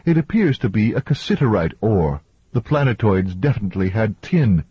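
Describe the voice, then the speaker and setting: A man's voice: on the low side, sing-song, normal speed, breathy edge, no room sound, dull audio, exaggerated voice. A man voicing a cool, precise woman scientist who corrects others matter-of-factly in an audiobook. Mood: detached interest. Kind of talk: audiobook character dialogue.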